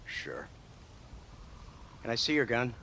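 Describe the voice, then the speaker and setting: A man's voice: mid-range voice, sing-song, slow pace, sharp tone, slight background noise, heavily acted. An actor playing a smug, condescending government lawman who needles people sardonically in a video game cutscene. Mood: taunting.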